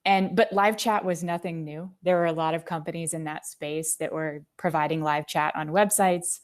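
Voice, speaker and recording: A woman with a fairly low voice. She speaks as an interview guest, articulately laying out explanations with concrete examples in a conversational way. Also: steady tone, moderate pace. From United States. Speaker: marketing executive, podcast guest.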